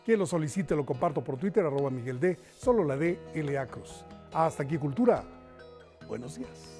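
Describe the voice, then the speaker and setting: A man's voice: fairly high pitch, animated, moderate pace, darkish tone, faint music behind. A man with a Mexican accent presenting a culture segment in a broadcast reporting style.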